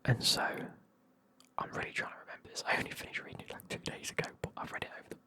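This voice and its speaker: A person with a British accent whispering a casual, chatty, sweary retelling of a book in a breathy ASMR style. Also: very fast, pushed and bright.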